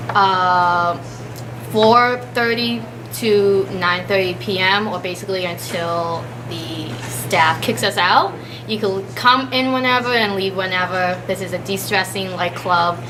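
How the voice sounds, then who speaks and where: Young woman over noticeable room noise. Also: medium pitch. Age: young adult. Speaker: student club member being interviewed. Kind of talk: casual chat.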